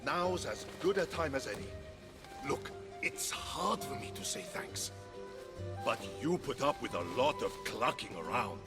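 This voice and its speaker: A blustering war god for a video game. The voice sits high and leaps about wildly, with a gritty creak. He is vain and touchy, comically dramatic, and clear music plays behind him. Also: lively intonation, measured pace. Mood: grudging thanks. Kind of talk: video game cutscene acting.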